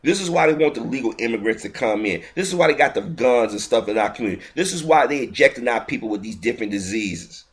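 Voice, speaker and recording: Heated man, indignant ranting commentary, restarts mid-sentence, mid-pitched voice. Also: expressive, quick pace, hardly any pauses. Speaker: video commentator.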